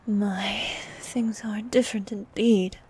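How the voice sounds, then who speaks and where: A woman voicing a vain, flirtatious sea queen monster in an ASMR roleplay, soft and half-whispered, with a regal, teasing manner. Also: mid-range voice, steady tone, breathy edge. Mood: teasing, haughty. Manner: regal, teasing, slow and coaxing.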